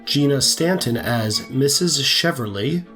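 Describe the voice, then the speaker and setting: Slow, lilting male announcer over music. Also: mid-range voice, animated. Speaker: credits announcer. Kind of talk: scripted credits announcement. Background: music with violin.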